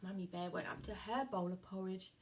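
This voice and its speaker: A woman's voice: mid-range voice, fairly even intonation, brisk. A woman telling a puppet story to young children in an encouraging, sing-song way.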